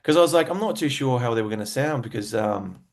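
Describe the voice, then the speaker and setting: A man's voice: mid-range voice, expressive, fast talker. A man with an Australian accent chatting casually as an interview guest, telling stories in a laid-back, slangy way with enthusiasm for music. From Western Australia.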